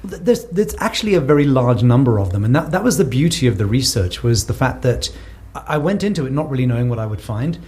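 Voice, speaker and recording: A man's voice: mid-range voice, big pitch swings, fast, few pauses, a little mellow. A South African man answering interview questions in a thoughtful way, often repeating words as he builds a point. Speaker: guest researcher. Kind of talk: conversational podcast interview.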